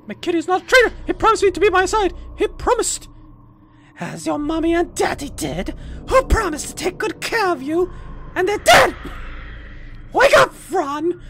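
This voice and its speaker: A let's player voicing a creepy, possessive female doll in a game, coaxing and menacing with drawn-out words. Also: very high voice, big pitch swings, background noise, heavily acted. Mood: accusing.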